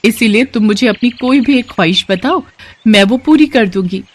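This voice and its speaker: A woman voicing a kind, grateful fairy, speaking in a gentle, reassuring and instructive way for a children's story. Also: a little high, normal speed, lightly acted. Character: kind, grateful young female fairy granting wishes.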